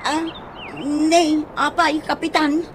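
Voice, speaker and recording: A woman's voice: sits high, little pitch movement, slow, a little hiss or hum. A woman with an Ilocano accent acting a flustered, pleading village gossip who stammers in a comedy radio drama.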